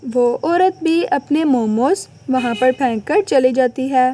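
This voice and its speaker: Woman narrator, scripted moral story, cartoon, very high pitch, slow measured pace. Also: warm tone.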